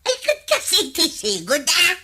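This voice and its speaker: An actress playing a carefree, giggly village wife in an Ilocano radio comedy drama, teasing and evasive. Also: female voice, very high voice, wildly swooping pitch, measured pace, sharp tone, full character voice.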